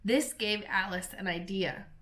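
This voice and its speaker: A woman reading a children's storybook aloud in a slow, careful, expressive way. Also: mid-range voice. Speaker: storybook reader.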